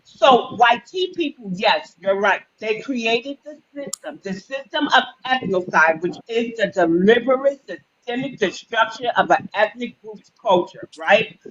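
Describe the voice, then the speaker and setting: Woman, firm, lays out argument, slightly high voice, dull lo-fi audio. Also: lively intonation, measured pace, a bit bright, breathy edge. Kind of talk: live-stream debate. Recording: dull lo-fi sound.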